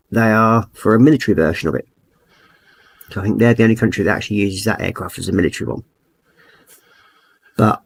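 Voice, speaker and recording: A middle-aged man with a croaky throat, chatting easygoing and rambling as he hosts a livestream, reading out messages from chat. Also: lowish voice, dry recording. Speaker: livestream host. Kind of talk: casual livestream chat while painting models.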